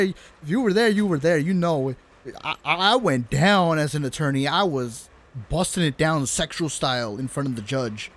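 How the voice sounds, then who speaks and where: A man streaming a game, casually reacting in slangy speech and talking back to the characters. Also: high voice. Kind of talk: casual live reaction commentary. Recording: game music behind the voice.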